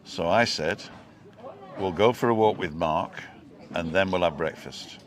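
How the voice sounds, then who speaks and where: A man's voice: deep voice, unhurried. A man in his sixties hosting a vlog, chatting casually and teasing with dry jokes. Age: 60s. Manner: joking, teasing storyteller. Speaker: vlog host. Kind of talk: casual chat.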